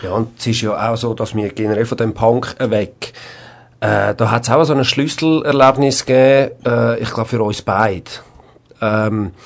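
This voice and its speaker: Hesitant band musician. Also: male voice, medium pitch. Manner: hesitant, many fillers. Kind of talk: casual radio interview. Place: radio studio.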